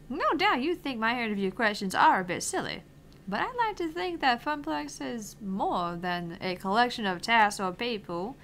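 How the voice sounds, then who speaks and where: A woman's voice: a little high, a bit bright, a little hiss or hum. A woman voicing an eccentric, earnest older female arcade owner, theatrical and probing as she reads visual novel dialogue.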